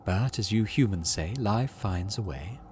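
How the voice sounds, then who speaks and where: A man voicing a calm, polite alien forest dweller in a video game, with measured, formal, gently wry phrasing. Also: lowish voice, a little hiss or hum. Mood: gently amused.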